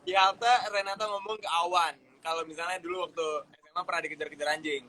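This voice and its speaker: A man's voice: high-pitched, fast, rough recording. A man from Indonesia chatting casually and animatedly as a guest in a party game. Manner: animated, laughing.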